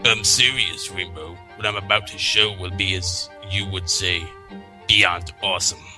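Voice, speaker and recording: A man's voice: sits low, fairly even intonation, measured pace, music in the background. A man voicing a bookish, easily exasperated female magic pony, speaking emphatically in a story reading. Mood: earnest, proud.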